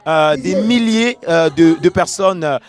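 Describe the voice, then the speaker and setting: A man reporting from a festival, speaking in a measured way with frequent hesitations. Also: fairly high pitch, lively intonation. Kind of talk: news report narration.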